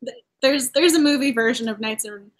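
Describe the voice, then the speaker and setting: A woman from the Boston area hosts a casual chat about books over a video call. Her voice sits on the higher side, and she talks in bursts, laughing and restarting as she tries not to give anything away. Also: fairly quick. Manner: chatty, laughs often, restarts while avoiding spoilers. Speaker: host.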